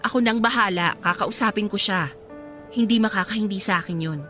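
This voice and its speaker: An actress playing an insistent, scheming bride who coaxes and pleads, in an emotional radio drama style. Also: female voice, mid-range voice, fairly quick, a bit bright, soft background music, natural voice. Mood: coaxing. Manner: coaxing, wheedling persistence. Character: insistent, scheming bride, pleading with her resentful half-sister.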